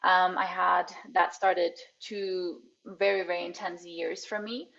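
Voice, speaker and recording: A woman in her forties with a low, gravelly voice. She talks through her own story reflectively and slips little laughs in between her words. The recording is dull and lo-fi. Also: level tone, unhurried, a bit bright. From Sweden. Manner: laughs often mid-sentence, reflective. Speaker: guest, empowerment coach and healer. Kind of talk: personal storytelling in a podcast interview. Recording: dull lo-fi audio.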